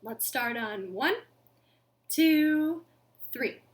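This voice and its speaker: A woman in her thirties reads to young children as a storytime host. Her voice sits fairly high and swings widely in pitch. She speaks very slowly, in an expressive sing-song way, addressing the children directly. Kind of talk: children's storytime, interactive read-aloud. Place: living room at home.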